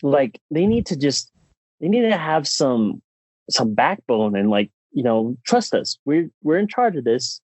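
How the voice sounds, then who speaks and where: A man with a mid-pitched voice talks casually as a podcast co-host. He is opinionated and emphatic, and the sound is close and dry with little room in it. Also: big pitch swings, a little slow, darkish tone, dry recording, rough recording. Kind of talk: casual film discussion.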